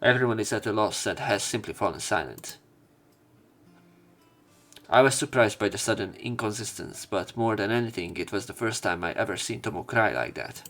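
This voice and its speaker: A man's voice: fairly low pitch, normal speed, a bit bright, soft background music. A man reading visual novel narration aloud as a let's player, with casual commentary.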